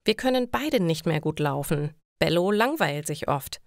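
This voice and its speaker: A woman's voice: sits low, animated, moderate pace, no echo, clean studio sound. A woman voicing a friendly elderly male neighbour in a story for language learners. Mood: explaining, apologetic. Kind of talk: German learner story dialogue.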